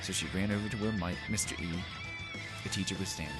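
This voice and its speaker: A man's voice: low voice, steady tone, fast, over loud music. A man doing a theatrical, comedic dramatic reading of a story, exaggerated and full of ad-libbed asides.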